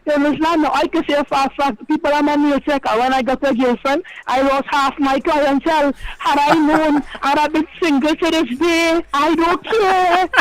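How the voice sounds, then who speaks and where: A man with a Trinidadian accent and a loud, big voice, talking emphatically in a casual radio chat as co-host. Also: very high voice, steady tone, moderate pace, few pauses, low-quality recording. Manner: emphatic, insistent.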